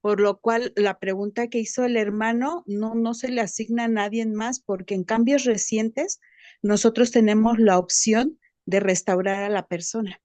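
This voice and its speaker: A woman's voice: a little high, fairly even intonation, moderate pace, a little mellow, no echo. A woman in an online class explaining things helpfully over a video call. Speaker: class participant.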